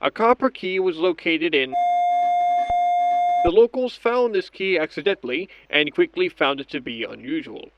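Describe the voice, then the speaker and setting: A man playing a methodical scientist in an audio drama, narrating each step of an experiment log in a measured, clinical way. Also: a little high, no room sound, close to an everyday voice. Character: methodical male scientist doctor logging experiments on tape. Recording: dull lo-fi audio with background noise.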